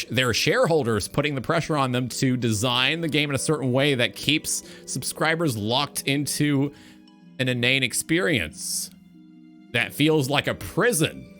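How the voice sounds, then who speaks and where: A man hosting a talk show, giving opinionated commentary on gaming in an emphatic, deliberate way with ornate wording. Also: mid-range voice, fairly bright tone.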